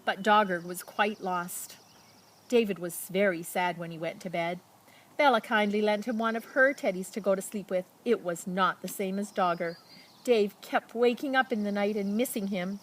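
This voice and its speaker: A woman with a medium-pitched voice reads a picture book for children. She tells it expressively, leaning on key words and pausing for drama, and slips in casual comments as she goes. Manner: expressive, dramatic emphasis and pauses. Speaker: storybook reader.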